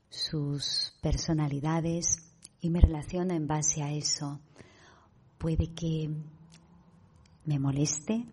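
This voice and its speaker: A woman with an accent from Spain leads a guided meditation, speaking calmly and deliberately in a husky, breathy voice with pauses. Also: sits low. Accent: Spanish from Spain. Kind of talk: guided meditation talk to an online audience.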